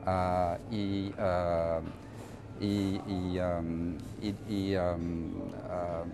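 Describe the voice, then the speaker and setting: A middle-aged man from France with a French accent, answering interview questions in an emphatic, halting way and often repeating phrases. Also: low-pitched, little pitch movement, music in the background. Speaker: interviewee, finance professional.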